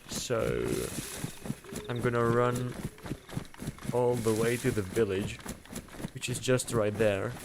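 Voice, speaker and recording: A man's voice: mid-range voice, noisy background. A man casually chatting with his viewers while streaming a game, laughing often and answering chat.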